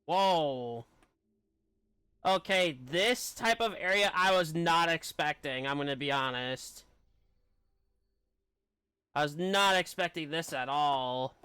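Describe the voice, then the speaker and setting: A man's voice: a little high, lively intonation, takes it slowly, long pauses, pushed and bright, clear, resonant tone, no echo. A man giving casual live commentary as he streams a game playthrough.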